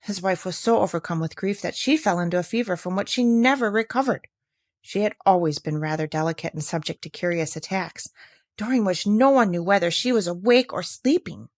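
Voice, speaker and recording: A woman playing a brisk, firm young village woman. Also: fairly low pitch, no echo, clean studio sound, close to an everyday voice. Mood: recounting. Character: brisk, self-interested young village woman, defensive and knowing. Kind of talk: storybook character reading.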